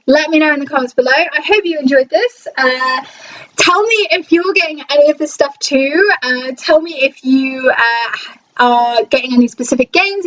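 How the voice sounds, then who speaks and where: A woman's voice: fairly high pitch, recorded from a distance, poor audio. A woman cosplayer chatting casually to her viewers, hesitant and full of fillers. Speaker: cosplayer and vlogger. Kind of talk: casual video chat to viewers.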